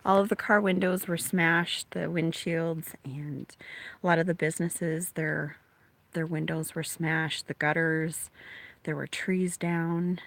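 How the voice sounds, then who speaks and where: A woman with a slightly low, slightly raspy voice talks casually to viewers on a live stream from her phone outdoors. She sounds congested from allergies and keeps her voice hushed, often trailing into near whispers. She is originally from Minnesota. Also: steady tone, slow, dry recording. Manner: soft-spoken, often hushed, laughing to herself. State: congested from allergies after a chest cold. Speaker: livestream host. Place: outdoors during a thunderstorm. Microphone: phone held outdoors.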